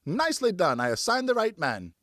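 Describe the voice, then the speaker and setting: A man voicing a bossy, excitable older boy in an audiobook, cocky and commanding, full of exclamations. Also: high voice, fairly quick, no echo, clean studio sound, voice pushed up, heavily acted. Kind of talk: audiobook character voice.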